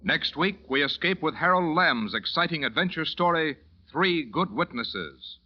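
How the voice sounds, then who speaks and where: A man's voice: a little high, lively intonation, unhurried. A man reading scripted credits as a formal, polished old-time radio announcer. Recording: dull old radio recording.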